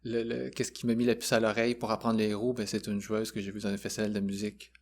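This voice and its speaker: A man's voice: fairly low pitch, monotone, fast, a little hiss or hum. A man in his forties with a Quebec French accent, a musician talking thoughtfully as a podcast guest, with frequent hesitations. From Quebec, Canada. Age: 40s. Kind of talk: casual conversation.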